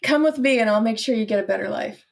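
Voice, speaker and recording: Slightly high-voiced woman playing a tabletop game. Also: quick pace, creaky. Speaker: player in a tabletop roleplaying game. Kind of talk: casual chat, acting out her character.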